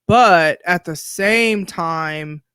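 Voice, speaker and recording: A woman's voice: on the low side, very slow, fairly bright tone, smooth, no echo. A woman in her forties hosting her own podcast, talking candidly in a chatty, blunt way. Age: 40s. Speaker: podcast host. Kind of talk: solo podcast monologue.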